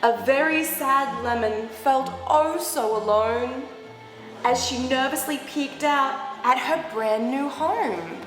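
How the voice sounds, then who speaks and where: A woman reading a picture book aloud to young children, expressive, with animated, exaggerated reactions and asides. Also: sits high. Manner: animated, exaggerated reactions with gasps and drawn-out words. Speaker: children's storyteller. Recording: roomy echoing hall sound, background music. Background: music.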